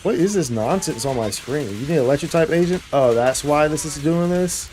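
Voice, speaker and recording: Mellow-voiced man casually commenting on gameplay. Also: fairly high pitch, expressive, brisk, faint music behind. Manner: slangy, repeats his phrases. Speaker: game streamer.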